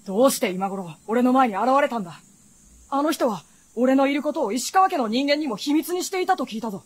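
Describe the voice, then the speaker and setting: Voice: high-pitched, lively intonation, brisk, breathy edge, dry recording. An actor playing a proud, guarded teenage boy with blunt, curt speech in a period fantasy radio drama. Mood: defiant.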